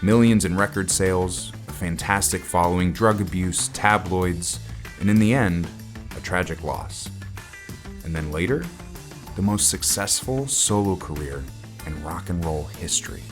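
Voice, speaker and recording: Deep-voiced dramatic podcast host. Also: male voice, music in the background. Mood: earnest, dramatic. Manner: dramatic, deliberate phrasing. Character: earnest, dramatic male rock podcast host. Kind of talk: scripted documentary podcast narration.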